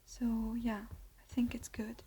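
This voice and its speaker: A woman's voice: fairly high pitch, flat delivery, slow, a little hiss or hum. Whispering Italian-accented young woman. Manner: soft whisper, hesitant with many fillers. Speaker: ASMR creator. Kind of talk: whispered ASMR talk.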